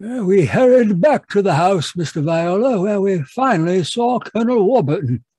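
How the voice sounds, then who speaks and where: A man's voice: high-pitched, expressive, moderate pace, warm tone, breathy edge, no room sound. A man playing an earnest, easily alarmed doctor and detective's sidekick, fussy and old-fashioned, in radio mystery style.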